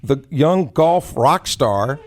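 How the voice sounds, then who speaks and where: A man co-hosting casual sports talk radio, animated and given to folksy exclamations. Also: a little high, takes it slowly.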